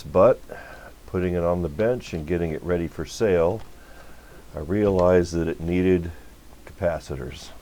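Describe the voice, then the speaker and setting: A man's voice: deep voice, mellow. A man talking in an informal, folksy, rambling way as he hosts a casual workbench demonstration. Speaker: host of a radio repair channel.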